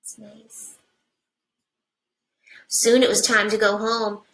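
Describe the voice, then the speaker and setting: Slow-paced woman reading to young children. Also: medium pitch, flat delivery, slight background noise, low-quality recording. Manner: simple deliberate phrasing with hushed asides to children. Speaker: first grade teacher. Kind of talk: picture-book read-aloud for young children.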